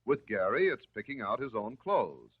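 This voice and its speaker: An American man delivering scripted narration in the style of a 1950s educational film, measured, instructive and reassuring. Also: medium pitch, sing-song, husky. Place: voice-over recorded for film. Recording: muffled, narrow-band 1950s film soundtrack.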